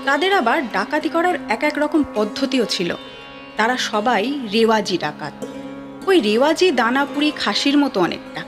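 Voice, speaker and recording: A woman tells a ghost story with wry, lively scripted narration. Her voice sits a little high. Sitar music plays clearly beneath her. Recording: sitar music bed behind.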